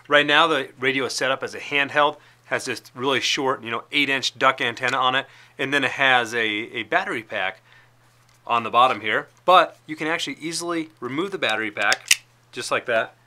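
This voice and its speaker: A man's voice: mid-range voice, pushed and bright. An American man, around his thirties, presenting in an informal, explanatory way, like a casual product demonstration. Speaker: product presenter for a radio retailer. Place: indoor studio with plain backdrop.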